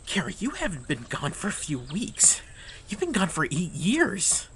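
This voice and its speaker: An actor playing a tough, weary armed chief of a besieged town in an audio drama. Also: male voice, high-pitched, huge pitch swings, normal speed, a bit bright, a little hiss or hum, heavily acted. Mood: stunned.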